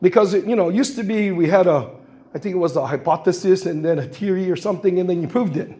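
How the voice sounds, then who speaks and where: A man in his sixties from Guam, with a noticeably high voice, addresses a public forum. He tells stories in a plain, earnest way and acts out the voices of the people he quotes. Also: fast, mellow. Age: 60s. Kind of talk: impassioned public comment. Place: public meeting hall.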